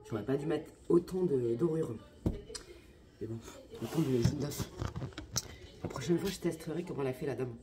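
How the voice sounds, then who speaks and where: A woman's voice: extremely low pitch, normal speed, long pauses, background noise. A young woman vlogging in a casual, slangy, exclamatory way, chatting straight to her viewers. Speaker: lifestyle vlogger. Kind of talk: casual vlog chat.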